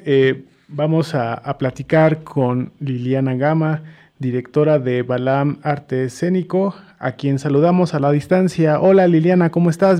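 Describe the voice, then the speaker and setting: Mellow Mexican radio host, higher-pitched male voice. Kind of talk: live community radio hosting.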